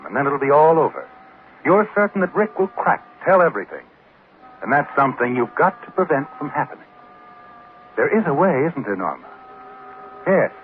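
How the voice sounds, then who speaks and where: An actor playing a sinister, insinuating narrator of a 1940s radio thriller, on a thin old recording. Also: male voice, mid-range voice, phone-quality sound, slight background noise, close to an everyday voice. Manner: insinuating, sardonic, second-person address. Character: sinister, knowing omniscient male narrator.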